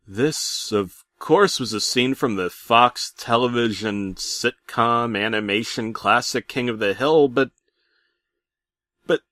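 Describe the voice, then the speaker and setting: A man narrates a creepy tale in a theatrical way, his pitch moving freely up and down. He stammers and repeats words for effect. The sound is close and dry. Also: a bit bright, dry recording. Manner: dramatic, halting with stammered repeats. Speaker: narrator of a parody horror story. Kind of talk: scripted first-person creepypasta narration.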